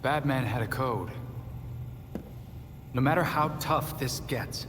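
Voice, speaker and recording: A man's voice: mid-range voice, takes it slowly, lightly acted. A man playing a principled young vigilante in a video game, earnest and conciliatory. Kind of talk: dramatic video game acting. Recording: long reverb, noisy background.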